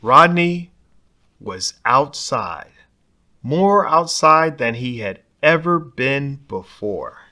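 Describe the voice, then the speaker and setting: A man's voice: medium pitch, animated, extremely slow, pushed and bright. A man reading a children's picture book aloud expressively, putting dramatic emphasis on key words. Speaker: storybook reader.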